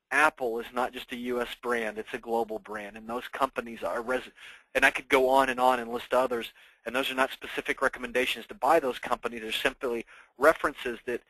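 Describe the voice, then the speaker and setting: A man's voice: medium pitch, creaky, pushed and bright, dry recording, low-quality recording. A man presenting to investors as a financial planner, explaining things informally and conversationally with everyday examples.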